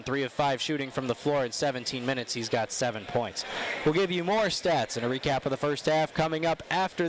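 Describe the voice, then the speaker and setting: A man calling a college basketball game play by play, reeling off stats and names in a brisk, informative way. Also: mid-range voice. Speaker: play-by-play commentator. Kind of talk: live basketball commentary. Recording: gym noise loudly behind. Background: ball bounces and crowd ambience.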